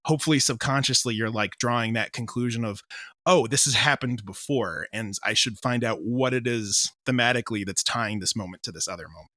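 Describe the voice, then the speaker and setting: A man's voice: mid-range voice, a little fast, bright, forceful tone, dry recording. A man speaking casually as an interview guest, explaining his creative work and voicing his own thoughts as quotes. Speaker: composer and audio drama creator interviewed as a guest.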